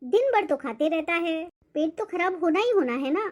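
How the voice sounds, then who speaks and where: A woman's voice: very high-pitched, animated, moderate pace. A woman voicing a nagging older mother-in-law in a cartoon family drama, with a complaining manner. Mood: irritated, complaining. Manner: scolding, complaining.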